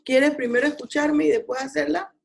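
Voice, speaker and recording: A woman's voice: sits high, fairly even intonation, a little fast, vocal fry. A woman, a law professor leading an online class discussion in a didactic way, often questioning students. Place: video call.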